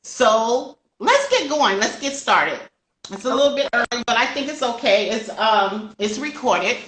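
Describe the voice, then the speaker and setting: Older woman host, bright voice, unhurried. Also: a little high, sing-song, echoey room, rough recording. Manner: talkative, effusive with frequent interjections and ready laughter. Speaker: host of a children's book channel. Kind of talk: casual chatty interview hosting.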